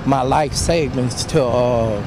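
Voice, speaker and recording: A man's voice: medium pitch, takes it slowly, vocal fry. A man in his thirties speaking plainly and off the cuff in an outdoor news interview, often saying "you know". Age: 30s. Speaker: homeowner being interviewed. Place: outdoors in a residential yard. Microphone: reporter's handheld microphone. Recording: noisy street with vehicle sounds.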